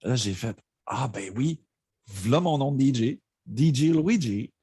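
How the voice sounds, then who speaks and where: A man's voice: medium pitch, animated, a little slow, mellow, husky, dry recording, clean studio sound. A man with a Quebec French accent, a guest DJ telling stories in a casual livestream chat at his home streaming setup. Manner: laid-back rambling storyteller.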